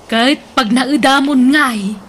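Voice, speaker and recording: A woman's voice: high-pitched, a little slow, slight background noise. A woman playing a suspicious, meddling aunt in an Ilocano radio drama, admonishing and questioning in an Ilocano Filipino accent. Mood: disapproving.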